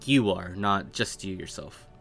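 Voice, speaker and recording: A man with a bright, fairly deep voice narrates a tabletop roleplaying game. He takes his time, building scenes in halting phrases over soft background music. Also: lively intonation, vocal fry. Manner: halting, piecing descriptions together phrase by phrase. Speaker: game master.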